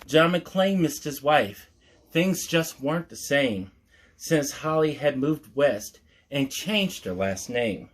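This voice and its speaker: A man hosting storytime, reading a picture book aloud with mock-earnest asides in a measured storyteller's manner. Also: fairly high pitch, lively intonation.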